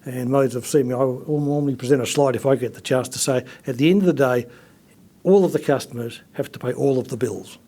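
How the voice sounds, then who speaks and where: A man presenting at an industry seminar in an easygoing, conversational way, with frequent fillers and restarts. His voice is mellow and dark, at an ordinary pitch. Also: fairly quick.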